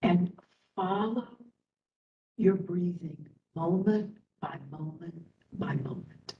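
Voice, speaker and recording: A woman instructor leading a guided meditation in a soothing manner, speaking in short phrases with long pauses. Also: narrow, tinny audio. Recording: roomy, distant mic, faint rumbling noise.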